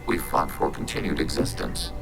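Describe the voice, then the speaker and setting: An impassive sci-fi game robot, rumbling extremely low with a creaky grain. It states things literally and without emotion, over prominent music. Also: male voice, animated, moderate pace, heavily acted. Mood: impassive, factual. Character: impassive, logical male-voiced robot of a machine collective. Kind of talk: video game dialogue acting. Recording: loud music behind the voice.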